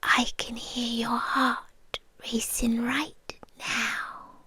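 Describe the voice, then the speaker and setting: A British woman playing a possessive, lovesick vampire girlfriend in an intimate ASMR roleplay, speaking softly and breathily, often slipping into whispers, with a coaxing, lingering delivery. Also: a little high, level tone, extremely slow, husky, exaggerated voice. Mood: tender, coaxing. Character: possessive, lovesick immortal vampire girlfriend, tender yet menacing.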